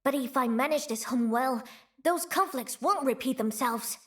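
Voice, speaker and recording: A woman voicing a brash, scrappy young female gang leader in a video game, cocky and boastful, hushed and wistful when she remembers. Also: sits high, normal speed, close to an everyday voice. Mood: earnest. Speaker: voice actor. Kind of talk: video game character voice lines.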